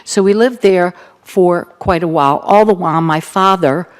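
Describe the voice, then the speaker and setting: An older woman with a Baltimore accent, the keynote speaker, recounting her family's past in an earnest, reflective talk with gentle humor. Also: on the low side, moderate pace. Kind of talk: personal testimony talk.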